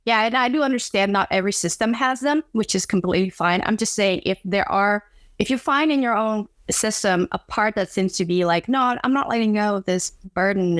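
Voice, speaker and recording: A woman's voice: mid-range voice, a bit bright, dry recording, clean studio sound. A woman from Indonesia, not a native English speaker, speaking earnestly as a psychologist guest in an interview from her home office. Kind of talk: conversational interview.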